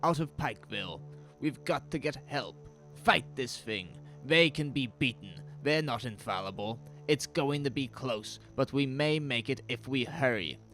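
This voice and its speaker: A man's voice: medium pitch, expressive, unhurried, soft background music. An actor playing a frantic, paranoid father urgently ordering his family around in a horror audio drama. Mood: urgent, determined. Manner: urgent, forceful, insistent.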